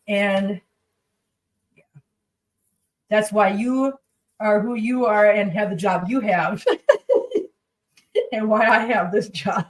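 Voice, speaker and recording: A chatty woman at a middle pitch, cooking in her home kitchen and rambling to her viewers and her pets. She sounds congested. Her voice sits a little back from the mic. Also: distant mic, poor audio. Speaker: cooking livestream host. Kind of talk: casual chat while cooking.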